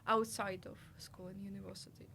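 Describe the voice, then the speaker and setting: A young woman from Ukraine with a Ukrainian accent, a Russian native speaker, pausing to search for words and laughing often as an interview guest. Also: medium pitch, flat delivery, creaky, bright, forceful tone, a little hiss or hum. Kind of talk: casual interview.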